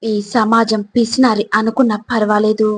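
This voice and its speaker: A woman reading scripted motivational narration in a measured, instructive way, addressing the listener directly. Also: a little high, level tone, slightly rough.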